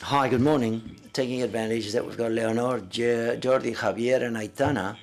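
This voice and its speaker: A man interpreting live at a press conference, speaking haltingly and often correcting himself as he renders others' words in first person. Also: medium pitch, fairly even intonation.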